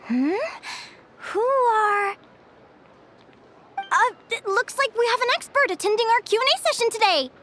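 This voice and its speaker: Casting: a playful young advisor quizzing a crowd, very high and sing-song, soft music behind. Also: female voice, measured pace, pauses often, slight background noise, full character voice. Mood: upbeat. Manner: lively, teasing, upbeat teacher. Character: young female adventure advisor, bright and playful quizmaster. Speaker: adventuring advisor giving a quiz. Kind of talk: video game cutscene dialogue. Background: game music.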